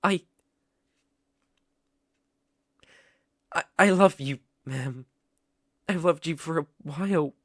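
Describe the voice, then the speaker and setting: A man plays a devoted British butler in a romantic ASMR roleplay. He speaks slowly in a slightly high male voice, proper and deferential, with a hesitant edge. The sound is dry and intimate. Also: no echo. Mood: shy, confessing. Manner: polite, hesitant, stammering, deferential.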